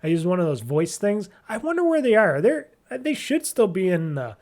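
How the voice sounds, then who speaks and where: A man with a somewhat high-pitched voice hosts a gaming podcast on his own. He comments casually on the news and rambles along informally, with some mild swearing. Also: sing-song, moderate pace, darkish tone. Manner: rambling, informal, mildly profane.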